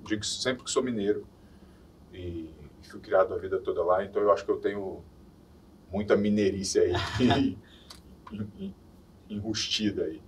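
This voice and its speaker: A man in his forties, from Minas Gerais in Brazil, chatting easily as a podcast guest and often letting his sentences trail off softly. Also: lowish voice, expressive, moderate pace, pauses often, a little hiss or hum. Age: 40s. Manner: easygoing, laughs off, trails off softly. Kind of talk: casual interview chat. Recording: distant mic.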